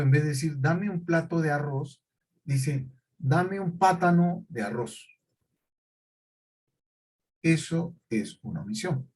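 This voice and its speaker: Man, middle-aged, lecturing, a bit husky, higher voice. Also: a little mellow, low-quality recording. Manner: questions students often. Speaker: teacher. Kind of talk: classroom lecture.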